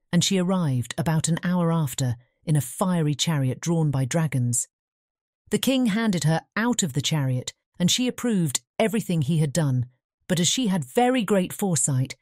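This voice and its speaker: A woman narrating a fairy tale audiobook in a measured, formal storybook reading. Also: sits low, mellow, no room sound, clean studio sound.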